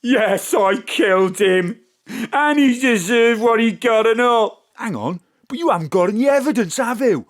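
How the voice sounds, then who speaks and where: A man's voice: very high-pitched, expressive, normal speed. A man playing a cornered, defiant murderer in an audio drama, scornful and colloquial. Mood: bitter, defiant. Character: guilty, defiant Welsh murderer, cornered and blustering.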